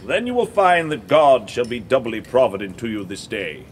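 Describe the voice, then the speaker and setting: An actor in a historical audio drama playing a stern, pious and ruthless Templar marshal who speaks with formal authority. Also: male voice, mid-range voice, sing-song, moderate pace, a little hiss or hum, close to an everyday voice. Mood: solemn authority.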